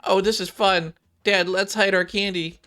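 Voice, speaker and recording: A man's voice: sits high, fairly even intonation, moderate pace, sharp tone, soft background music, voice pushed up. A man voicing a curious, chatty girl character while reading out a game's dialogue. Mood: cheerful.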